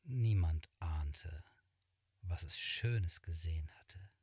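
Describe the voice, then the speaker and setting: A middle-aged man reading a fairy tale aloud, slow and hushed, sometimes whispering, in a small room. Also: sits low, husky, no room sound, studio quality. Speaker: storyteller. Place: small cluttered room, indoors.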